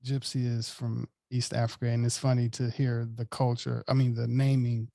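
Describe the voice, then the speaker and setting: Male podcast host, mid-pitched, casual and friendly. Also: flat delivery, moderate pace, creaky, mellow, no echo, clean studio sound.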